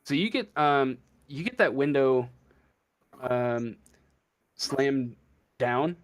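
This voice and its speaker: A man running a tabletop game as game master, improvising the narration slowly and searching for his words. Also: mid-range voice, expressive.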